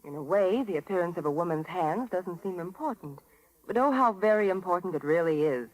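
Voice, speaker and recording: A woman's voice: fairly low pitch, expressive, fairly quick, poor audio. An American woman giving an earnest, genteel scripted advertising talk on 1930s radio. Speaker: radio commercial spokeswoman. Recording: dated 1930s radio broadcast.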